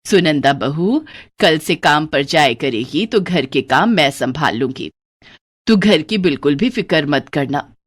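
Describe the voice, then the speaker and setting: Cartoon storybook: an elderly, affectionate mother-in-law, slow and warm-hearted, a woman doing the voice. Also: deep voice, lively intonation, no echo, studio quality, pitched below the performer's own voice. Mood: reassuring.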